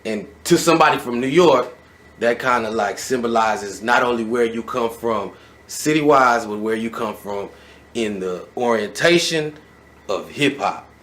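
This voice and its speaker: Animated man hosting a casual interview. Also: medium pitch, a little slow. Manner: animated, builds questions out loud, many "all right"s. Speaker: interviewer, show host.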